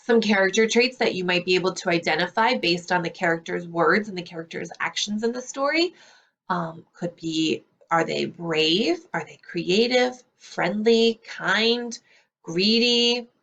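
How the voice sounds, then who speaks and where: A woman speaking as a school librarian, explaining things clearly in a read-aloud lesson for young children. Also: on the low side, measured pace, a bit bright. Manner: clear, explanatory teacher talk.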